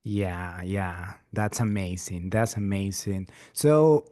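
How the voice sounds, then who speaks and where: A man's voice: sits low, animated, takes it slowly, dark tone, dry recording, clean studio sound. A man who is a resident physician hosts an interview, upbeat, often repeating his words of praise. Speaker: interviewer, program ambassador. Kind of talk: scripted-sounding interview hosting.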